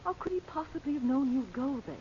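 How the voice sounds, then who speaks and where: An actress playing a practical, concerned woman in an old radio serial, briskly chiding and questioning. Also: sits high, animated, a little mellow. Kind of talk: 1940s radio serial acting. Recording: muffled, noisy old radio recording.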